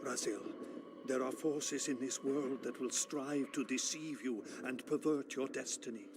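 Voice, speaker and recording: A man voicing an ancient vampire elder in a video game, a solemn prophet who speaks gravely and urgently in a gravelly voice. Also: mid-range voice, background noise, close to an everyday voice. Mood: urgent pleading. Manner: slow, urgent, solemn, archaic phrasing. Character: ancient male vampire elder, awakened, earnest pleading prophet. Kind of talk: dramatic video game voice acting.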